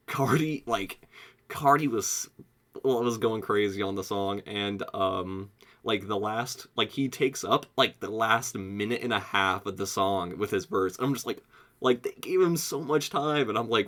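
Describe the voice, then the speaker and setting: A young American man giving a casual, excitable album review, slangy and sweary, saying "like" constantly. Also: mid-range voice. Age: young adult. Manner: excitable, slangy, heavy use of "like", frequent swearing. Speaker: music reviewer.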